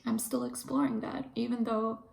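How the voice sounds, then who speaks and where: A woman in her thirties from Ukraine, a pharmacist, talking through her thoughts in a hesitant, reflective monologue with frequent pauses and fillers. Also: fairly high pitch, big pitch swings, creaky, a little mellow. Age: 30s. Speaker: pharmacist and video host.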